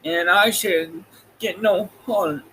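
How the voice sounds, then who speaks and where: A man's voice: sits high, steady tone, slow, bright, forceful tone, pitched above the performer's own voice, full character voice, low-quality recording. A man voicing a gloomy, worried tin woodman in a storybook reading, with earnest delivery. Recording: noticeable background noise.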